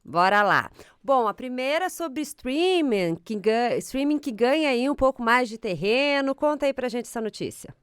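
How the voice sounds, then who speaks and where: A woman's voice: on the high side, lively intonation, normal speed, smooth, no echo, clean studio sound. A woman hosting an interview podcast, upbeat and affirming as she moves the talk along. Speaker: podcast host.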